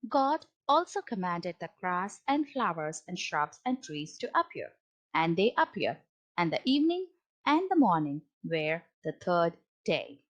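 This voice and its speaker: A woman's voice: lowish voice, very animated, a little slow. A woman reading a children's Bible story aloud as a storybook narrator. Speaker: storybook reader.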